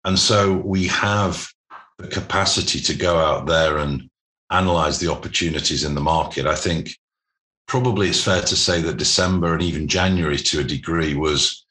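A man speaking as an executive guest in a business interview, explaining things in measured, articulate corporate phrasing. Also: rumbling low, sharp tone.

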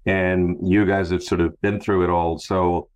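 A man's voice: low voice, level tone, unhurried, smooth. A man hosting an interview podcast in a conversational style. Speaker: podcast host.

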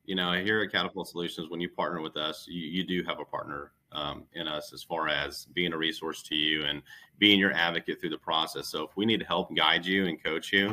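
A man's voice: extremely low pitch, sharp tone. A man speaking conversationally as a recruiter guest, coaching with emphatic, repeated phrases. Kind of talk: conversational interview advice.

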